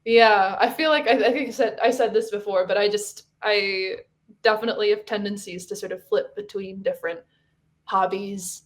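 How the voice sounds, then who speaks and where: A woman from Hong Kong, a podcast guest, chatting casually with many pauses and restarts. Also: medium pitch, fairly even intonation. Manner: hesitant, many fillers and restarts. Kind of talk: casual chat.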